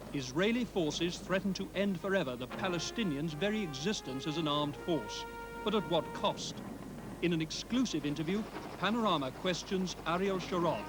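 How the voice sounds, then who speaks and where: Male trailer narrator, slightly high pitch, weighty emphatic scripted delivery, music bed. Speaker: current affairs trailer narrator. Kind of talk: scripted dramatic trailer voice-over.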